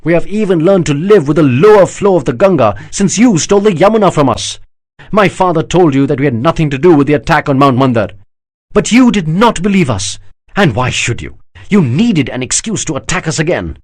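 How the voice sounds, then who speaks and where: A man's voice: on the high side, big pitch swings, brisk, no echo, pitched above the performer's own voice. A man reading an audiobook, voicing an indignant young prince who argues heatedly. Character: indignant young male prince defending his people. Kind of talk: audiobook character voice.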